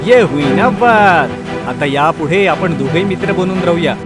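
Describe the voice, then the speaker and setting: High-voiced, kindly male vulture played by a man. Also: expressive, a little slow, over loud music. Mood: encouraging. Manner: reassuring, encouraging. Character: kindly, steady male vulture, consoling friend.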